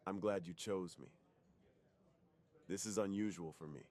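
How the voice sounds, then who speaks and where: A man's voice: sits low, moderate pace, darkish tone, lightly acted. A man playing a weary, secretive superhero on a date, earnest and confiding, in an intimate ASMR roleplay. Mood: warm, open.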